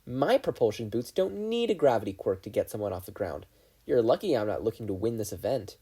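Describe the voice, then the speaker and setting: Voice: mid-range voice, very animated, fairly quick, mellow, slightly rough. A performer voicing a brash, proud teenage girl inventor who is cocky and commanding. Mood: scornful.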